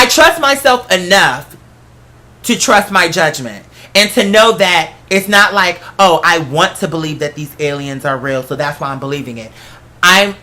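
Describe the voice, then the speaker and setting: Bright-voiced solo host, casual and emphatic. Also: mid-range voice. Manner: emphatic, conversational, profane. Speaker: host of a solo talk show. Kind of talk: casual rambling monologue. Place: apartment.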